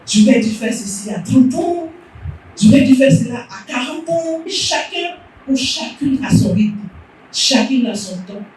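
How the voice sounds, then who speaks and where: A woman's voice: high-pitched, animated, measured pace, darkish tone, faint music behind. Encouraging woman exhorting a meeting. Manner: encouraging, rhetorical questions. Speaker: association president. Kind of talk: motivational exhortation. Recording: echoey hall from far off.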